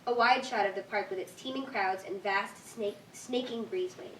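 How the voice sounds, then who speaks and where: A woman's voice: mid-range voice, normal speed. A woman reading scripted stage directions as narrator at a staged reading. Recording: distant echoey room, faint noise.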